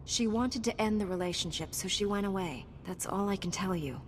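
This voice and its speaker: A woman playing a calm, dutiful Jedi Knight in a video game, measured and earnest in delivery. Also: mid-range voice, slight background noise, lightly acted. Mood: sympathetic, calm.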